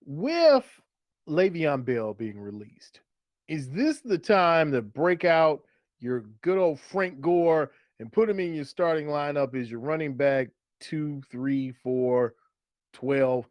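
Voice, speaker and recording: A man's voice: on the high side, animated, slow pace, darkish tone, no echo. A man co-hosting a fantasy football show in a casual, conversational way, posing questions. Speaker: fantasy football podcast co-host. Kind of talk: casual sports chat.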